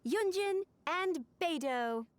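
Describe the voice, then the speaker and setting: Young secretary in a game, high lively voice, polite and official. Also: female voice, takes it slowly, faint music behind, heavily acted. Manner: formal, courteous. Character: polite, brisk young female secretary announcing winners. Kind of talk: video game voice acting.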